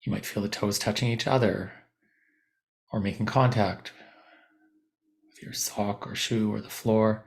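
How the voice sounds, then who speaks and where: Male meditation teacher, lowish gravelly register, gentle and slow to move on, instructing with spacious pauses. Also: steady tone. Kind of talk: guided body scan meditation.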